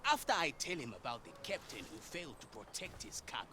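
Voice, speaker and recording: A man's voice: mid-range voice, wildly swooping pitch, quick pace, crowd noise. An actor playing a bitter, foul-mouthed pirate man in a video game, threatening and sneering. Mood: menacing. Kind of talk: dramatic video game acting.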